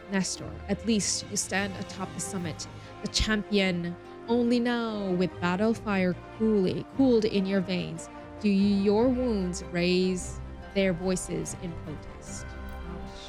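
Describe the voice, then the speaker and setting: A woman streaming a game, reading story text aloud expressively and mixing in casual, chatty asides. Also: medium pitch. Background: game music.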